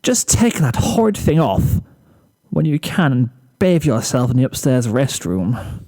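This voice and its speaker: A man's voice: mid-range voice, sing-song, measured pace, warm tone. A man voicing a prim, fussy young female unicorn with affected, dramatic politeness in a story reading. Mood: reluctant pity.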